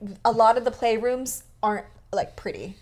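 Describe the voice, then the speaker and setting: A woman's voice: fairly high pitch, normal speed, darkish tone. Agreeable woman podcaster, native Vietnamese speaker. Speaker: podcast co-host. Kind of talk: casual podcast chat.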